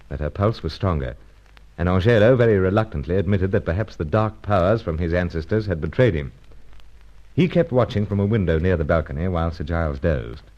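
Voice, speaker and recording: An actor playing an unflappable, wry secret agent and narrator in an old-fashioned radio spy serial, with clipped, polite speech. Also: male voice, deep voice, a little mellow, lo-fi sound. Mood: measured narration. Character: unflappable British secret agent, breezy and dryly cheeky.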